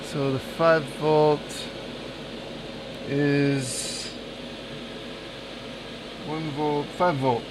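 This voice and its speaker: A man's voice: a little high, little pitch movement, extremely slow, pauses often, background noise. A man streaming a console repair, chatting with viewers and thinking aloud while he solders. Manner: thinks aloud, chats with viewers between tasks. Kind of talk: casual live-stream chat while soldering.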